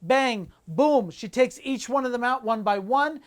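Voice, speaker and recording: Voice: medium pitch, expressive, unhurried. A podcast co-host casually commenting on movie trailers, with a playful, teasing way of bantering.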